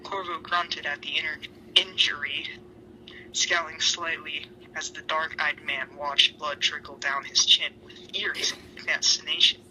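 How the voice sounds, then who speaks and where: Guy dramatically reading fanfic, breathy and theatrical, really sharp bright voice, bit of noise. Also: a little high, level tone, measured pace, rough recording. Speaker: fan fiction reader. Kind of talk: dramatic reading of fan fiction.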